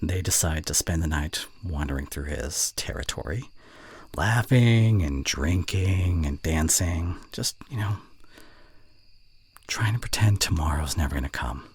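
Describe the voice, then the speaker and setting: A young man playing a teasing, fond college student and voice actor in an intimate ASMR roleplay, with a breathy voice that often drops toward a whisper and halting, playful delivery. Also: extremely low pitch, expressive, dry recording, full character voice. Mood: musing, soft. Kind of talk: scripted ASMR roleplay addressed to the listener.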